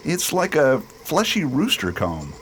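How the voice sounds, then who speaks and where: A man's voice: on the high side, huge pitch swings, measured pace, a little hiss or hum. A man playing a wry, composed space expedition leader in a science fiction radio drama, speaking casually. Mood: curious, wry.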